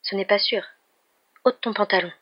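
A storybook narrator voicing a confident, bossy but kind young woman doctor with a brisk, professional manner. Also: medium pitch, fairly even intonation, no room sound, natural voice. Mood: brisk, insistent. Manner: professional, matter-of-fact, commanding. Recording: dull, muffled lo-fi recording.

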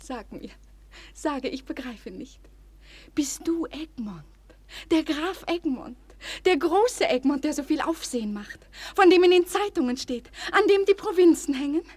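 An actress playing a shy, devoted young maiden in love in a classic German radio play, breathy and fervent. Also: female voice, high-pitched, expressive, a little slow, dry recording. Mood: awed, rapturous. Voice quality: breathy, often near whispering. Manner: theatrical, fervent, hushed.

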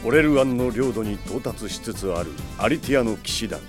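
An actor narrating as the solemn storyteller of an epic war saga, in a scripted dramatic style. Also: male voice, on the low side, animated, a little fast, music in the background, close to an everyday voice.

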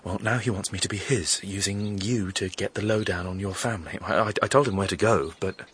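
An actor playing an earnest, devoted young suitor in a radio drama, sincere and a little hesitant. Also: male voice, on the low side, a little fast, fairly bright tone, breathy edge. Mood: earnest, reassuring.

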